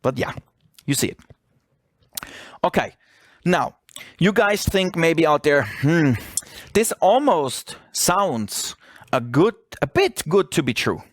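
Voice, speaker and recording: A man's voice: on the high side, big pitch swings, slow pace, long pauses, no echo. A man who speaks English as a second language gives an informal, persuasive sales presentation, often asking questions and answering them himself. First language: not English. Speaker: webinar presenter.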